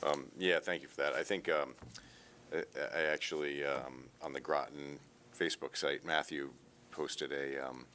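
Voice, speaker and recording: A man, a board member, asking questions at a public meeting in a halting way with many pauses and fillers. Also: rumbling low, fairly even intonation, sharp tone, slight background noise. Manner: halting, lots of ums.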